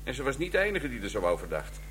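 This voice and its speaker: A man's voice: mid-range voice, wildly swooping pitch, very fast, fairly bright tone, a little hiss or hum. A man playing a streetwise police detective in a Dutch radio crime drama, reporting in a blunt, colloquial way. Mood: blunt, informative.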